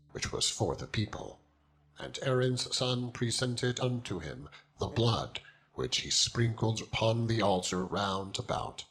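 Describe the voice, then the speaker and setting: A man reading scripture as a dramatized narration, in a solemn and measured manner. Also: fairly low pitch, creaky, rough recording. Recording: music throughout. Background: loud instrumental music.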